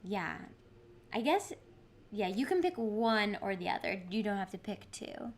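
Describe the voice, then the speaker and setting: A woman's voice: medium pitch, big pitch swings, measured pace, slight background noise. A woman with a Boston accent, hosting a podcast in casual, bubbly chat and laughing often. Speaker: podcast host.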